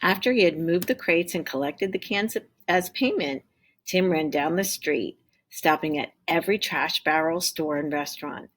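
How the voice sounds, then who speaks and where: A woman teacher reading a children's story aloud in an expressive storytelling style. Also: low-pitched, normal speed.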